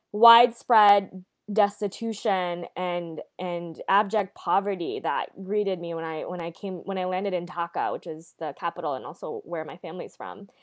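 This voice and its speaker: A young woman raised in the United States, moderating a video-call interview and reflecting thoughtfully on her own past. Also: on the low side. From United States, born in Bangladesh. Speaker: event moderator, nonprofit director. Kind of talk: conversational interview. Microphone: video call webcam.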